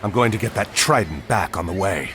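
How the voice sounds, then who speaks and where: Proud, expressive veteran admiral. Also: male voice, low voice, a little fast, slight background noise. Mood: dignified. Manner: firm, commanding, dignified. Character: proud, battle-weary veteran admiral hero of ancient myth. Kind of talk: video game cutscene acting.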